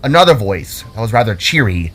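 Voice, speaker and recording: A man reading scripted fantasy story narration in a dramatic, deliberate storytelling manner. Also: fairly low pitch, big pitch swings, fairly bright tone, breathy edge. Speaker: author and narrator. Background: music.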